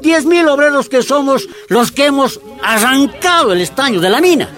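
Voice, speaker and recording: A man's voice: very high voice, animated, moderate pace, a bit bright. An actor playing a fervent miners' union leader in a radio drama, explaining things to his comrades in an emphatic, rhetorical way. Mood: emphatic, indignant.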